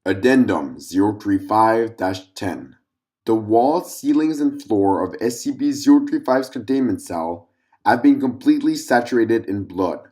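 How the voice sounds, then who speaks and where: A man's voice: fairly low pitch, lively intonation, unhurried, mellow. A man narrating a scripted fictional document in a formal, clinical report-reading manner.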